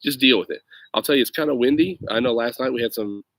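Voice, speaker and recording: A man's voice: mid-range voice, quick pace, a bit bright, no room sound. A man with an informal, chatty, easygoing way of talking, hosting a children's story time and reading aloud expressively, recorded outdoors on a laptop. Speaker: reader of children's stories, teacher-like host. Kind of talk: casual chat and expressive picture book read-aloud. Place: outdoors in a grassy yard on a windy day. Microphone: laptop at about arm's length.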